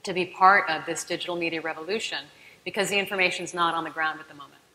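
A woman's voice: lowish voice, steady tone, quick pace, sharp tone. A middle-aged woman on a conference panel, speaking passionately. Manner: passionate, speeds up when proud. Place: large conference hall stage. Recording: echoey hall, faint noise.

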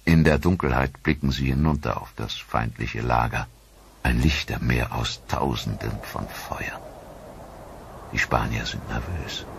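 A man narrating a history documentary in a dramatic, measured storytelling manner. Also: a bass voice, vocal fry, background noise.

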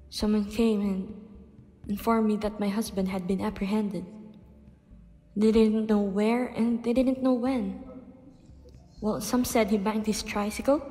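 A woman playing a gentle, humble older countryside wife in a radio drama, wistful and hesitant, in long reverb. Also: on the high side, close to an everyday voice.

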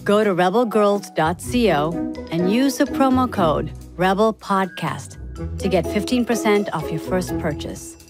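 A woman reading a scripted promotional message in an upbeat, clearly enunciated manner. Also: mid-range voice, a little slow, music in the background. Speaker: ad announcer.

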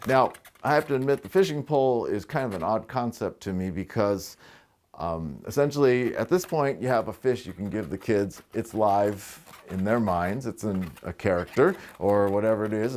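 Middle-aged guy showing balloon animals at home, lowish voice, laid-back, bit wry, lapel mic. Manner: relaxed, chatty, wry asides with fillers. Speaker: balloon twisting instructor. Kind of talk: casual tutorial demonstration. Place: living room with fireplace, indoors.

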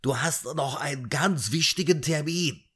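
A man's voice: on the high side, unhurried, a bit bright, husky, pitched above the performer's own voice. A man voicing an anxious, scheming male guinea pig who talks in an urgent, coaxing way. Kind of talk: comic audiobook voice acting.

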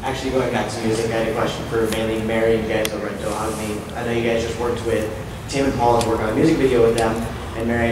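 A man speaks quickly and in a flat tone, asking a long, direct question. His voice comes from far off in an echoey hall, with a long reverb and noise. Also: mid-range voice. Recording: echoey hall, distant mic.

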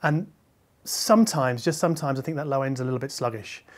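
A middle-aged man, a reviewer talking conversationally to camera in a measured, explanatory way, qualifying his points as he goes. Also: mid-range voice. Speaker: hi-fi reviewer and video host. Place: medium-sized living room listening space, indoors.

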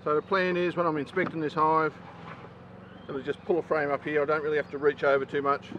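A man's voice: fairly high pitch, brisk. A man, a hobby beekeeper talking casually with frequent ums as he works his hives in a backyard. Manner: relaxed, chatty, frequent ums while working. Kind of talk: casual outdoor how-to vlog. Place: backyard apiary outdoors. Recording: slightly dull outdoor camera audio with faint noise. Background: neighbour's lawnmower.

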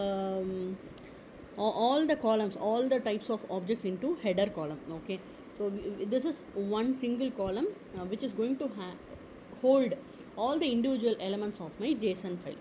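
A woman teaching a screen-recorded software lesson, explaining step by step with frequent "okay" and "uh" fillers. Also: medium pitch, a little hiss or hum, poor audio. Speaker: tutorial instructor. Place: at a computer.

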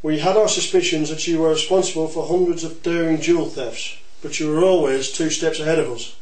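A man playing a courteous, dogged detective inspector in an amateur audio drama, speaking in a measured, formal way. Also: on the high side, fairly even intonation, a little mellow, reverberant, natural voice, low-quality recording. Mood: composed.

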